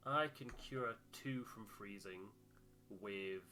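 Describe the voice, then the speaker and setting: A man's voice: lowish voice, takes it slowly, bright, forceful tone, faint music behind. A man hosting a game playthrough with casual commentary into a close microphone. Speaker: game player and host.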